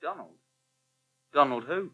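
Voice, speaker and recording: An actor playing a defensive, straight-talking former boyfriend in a naturalistic radio soap, direct and terse. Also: male voice, fairly high pitch, expressive, a little slow, fairly bright tone.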